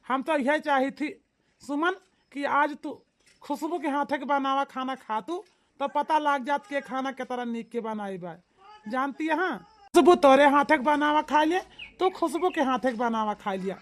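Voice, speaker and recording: A woman's voice: high-pitched, moderate pace, close to an everyday voice. A woman voicing a village in-law in a comedy cartoon, speaking with an Awadhi accent in an emphatic, folksy, repetitive way. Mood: persuasive.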